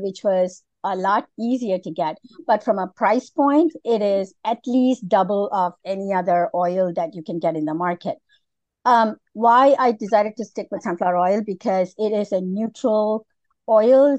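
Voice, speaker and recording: Middle-aged woman from Malaysia, guest, unhurried with fillers, dry close sound. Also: mid-range voice, darkish tone, dry recording, muffled recording. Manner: unhurried, many fillers and restarts. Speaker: guest, food business founder. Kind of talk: casual interview.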